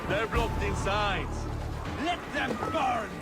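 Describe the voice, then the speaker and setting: An actor playing a fierce, urgent medieval fighter in a video game, calling out battle cries. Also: male voice, sits high, expressive, takes it slowly, over music. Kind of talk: dramatic video game acting.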